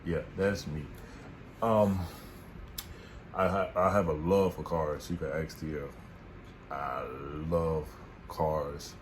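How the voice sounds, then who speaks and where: A man's voice: very deep voice, fairly even intonation, slow, pauses often. A man, chatting casually and goofily on a couple's vlog. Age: 20s. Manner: laid-back, goofy, hypes himself up. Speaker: vlogger. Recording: noisy room.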